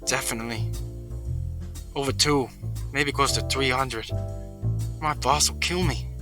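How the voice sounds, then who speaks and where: An actor playing a flustered, agitated, excitable corner-store clerk in a satirical 1940s audio play. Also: male voice, mid-range voice, unhurried, music in the background, close to an everyday voice. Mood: sheepish, worried.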